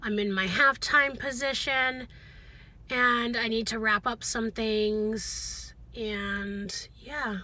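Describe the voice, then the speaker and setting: A woman talking casually to viewers in a chatty, animated video diary, often interrupting herself. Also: a little high, slow pace. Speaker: vlogger, school teacher.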